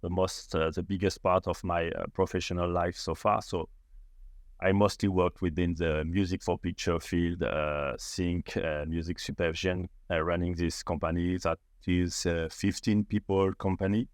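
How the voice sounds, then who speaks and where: A man's voice: sits low, level tone, normal speed, dry recording, clean studio sound. A middle-aged man from France with a French accent, a founder being interviewed, speaking hesitantly with many fillers and restarts. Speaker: startup founder, guest. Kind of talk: podcast interview conversation.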